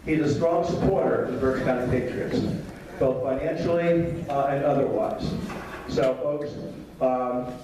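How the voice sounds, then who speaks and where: A man's voice: medium pitch, measured pace. Man emceeing a meeting, echoey and distant. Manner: casual, with many fillers. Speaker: meeting host. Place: meeting hall. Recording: echoey hall from far off, noisy.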